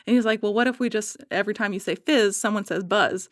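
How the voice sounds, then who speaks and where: A woman podcast guest chatting casually and enthusiastically, often halting to search for words. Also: mid-range voice, no room sound, clean studio sound. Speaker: podcast guest, writer and illustrator.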